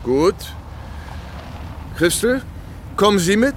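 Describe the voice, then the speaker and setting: A brisk American army captain in a wartime radio drama. His voice sits high for a man and sounds decisive and self-assured, with clear street and car noise behind him. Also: very animated, full character voice. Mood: brisk, casual. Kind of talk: German radio play acting.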